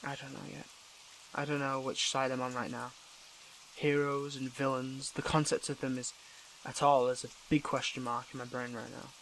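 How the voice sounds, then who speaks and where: A man playing a conflicted, tender superhero in an intimate audio roleplay, with a gravelly voice and halting, earnest, emotional delivery. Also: medium pitch, a bit bright, a little hiss or hum, lightly acted, poor audio.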